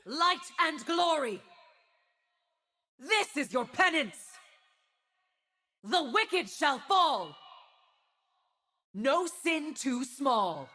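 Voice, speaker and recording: A woman's voice: high-pitched, big pitch swings, drawn-out words, long pauses, pushed and bright, heavily acted. A woman playing a proud, righteous winged warrior and judge in a video game, with a solemn, commanding, haughty delivery. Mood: wrathful command. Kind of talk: dramatic video game voice acting.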